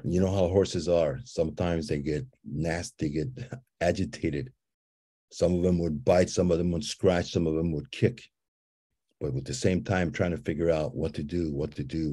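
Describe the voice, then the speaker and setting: A man from Guatemala who leads ceremonies, guiding listeners through a slow, calm visualization and telling a story with soft repeated invitations. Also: a bass voice, slightly rough. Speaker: ceremony leader guiding a visioning exercise.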